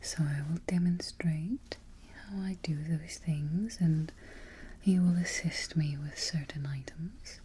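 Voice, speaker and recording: A smug, imperious evil queen, acted by a woman, murmurs her orders in a breathy near-whisper, very close to the mic. Her delivery is slow and deliberate, with a mellow tone and faint noise behind it, in a soft ASMR roleplay style. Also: deep voice. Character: haughty, gloating evil queen giving orders to a trusted underling. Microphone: close-up ASMR microphone.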